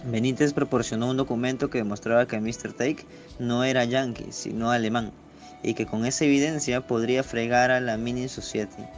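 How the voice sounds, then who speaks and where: A man's voice: mid-range voice, fairly even intonation, fairly quick. A man narrating a scripted radio novel. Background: faint music.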